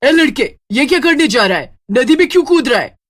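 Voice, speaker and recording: A woman's voice: high voice, animated, brisk, pitched above the performer's own voice. A woman voicing a cunning witch in a dramatised storybook tale, with a scheming, emphatic delivery. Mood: sharp, scolding. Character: cunning witch luring a poor boy with gold.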